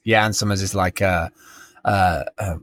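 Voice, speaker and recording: Low-voiced British man, rambling chat. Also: fairly even intonation, a little slow, a bit bright. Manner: rambling, self-interrupting, laughs often. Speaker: podcast co-host. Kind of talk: casual chat.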